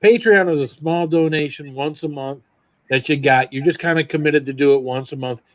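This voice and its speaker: A man hosting a casual livestream with a fairly high voice, chatting easily and thanking his supporters. His voice is close and dry, with no room echo. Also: moderate pace. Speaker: livestream host.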